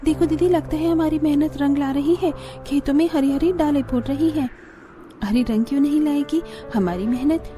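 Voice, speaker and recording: A woman plays a dutiful young daughter-in-law in a moral-story cartoon. Her voice is high yet mellow, earnest and respectful toward her elders, with some music behind it. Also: moderate pace, natural voice. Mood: hopeful.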